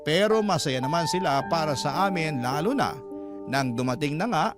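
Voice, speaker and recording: A man with a Filipino accent reading a listener's story as a radio host, in sentimental, measured, dramatic narration. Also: on the high side, lively intonation. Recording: loud music under the voice. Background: loud background music.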